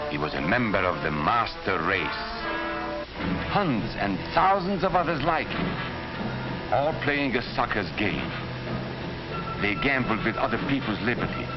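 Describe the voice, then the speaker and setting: An earnest émigré professor with a low, expressive voice. He lectures deliberately, as in a 1940s educational film, over music on a dull old soundtrack. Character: Hungarian-born former professor warning against Nazi hatred. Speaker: narrator recounting his past.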